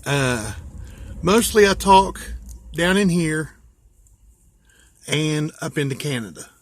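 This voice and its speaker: Slow-talking American man, slightly high voice. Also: lively intonation. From West Virginia, USA. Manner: unhurried, informal, pausing to think aloud. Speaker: hobbyist vlogger. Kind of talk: casual show-and-tell.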